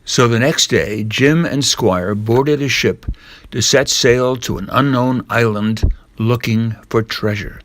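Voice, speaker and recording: A man's voice: medium pitch, slow, a bit bright, no echo. Older man reading a storybook, dry sound. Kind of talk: scripted storybook narration.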